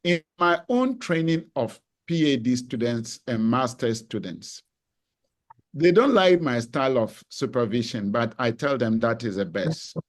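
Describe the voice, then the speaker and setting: A professor answers a question on a panel at a deliberate pace, thinking aloud and pausing with small hesitations. He is a man with a voice of middle pitch, speaking over a video call. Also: lively intonation. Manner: deliberate, reflective, some hesitations. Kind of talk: measured academic answer.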